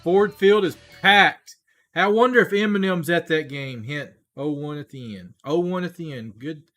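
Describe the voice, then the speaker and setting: Bright-voiced man hosting a sports stream. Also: on the high side, moderate pace, faint music behind. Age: 40s. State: hoarse, losing his voice. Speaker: livestream host. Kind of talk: casual live sports commentary.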